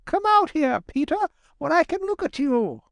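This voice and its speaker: Roly-poly cartoonish woodchuck: very high squeal, eager, excitable, storybook reading. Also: male voice, normal speed, vocal fry, dry recording, full character voice. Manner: eager, calling out. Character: excitable, eager, round young male woodchuck.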